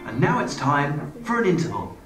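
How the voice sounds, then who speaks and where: A man's voice: a little high, very animated, normal speed, music in the background. A man delivering comic scripted narration in a wry, playful manner. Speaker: comedian and presenter. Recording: roomy, distant playback with loud crowd noise.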